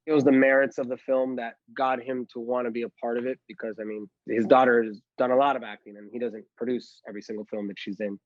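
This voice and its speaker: A man's voice: mid-range voice, little pitch movement, quick pace, hardly any pauses, no echo, lo-fi sound. Chatty man telling his story. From Miami, Florida. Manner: chatty storytelling, heavy use of "like" and "you know". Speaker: guest filmmaker. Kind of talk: casual podcast interview.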